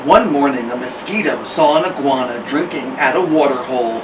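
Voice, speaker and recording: A man hosting storytime, reading a children's picture book with expressive, deliberate phrasing. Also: medium pitch, muffled recording, over music. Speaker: storytime host and reader. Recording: roomy, distant mic, noisy hum.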